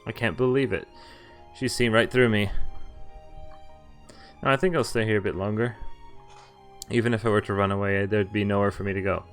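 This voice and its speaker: Low-voiced man reading a game aloud. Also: steady tone, brisk, long pauses, soft background music. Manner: stumbles over words and self-corrects. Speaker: game streamer. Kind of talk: reading visual novel text aloud with casual asides.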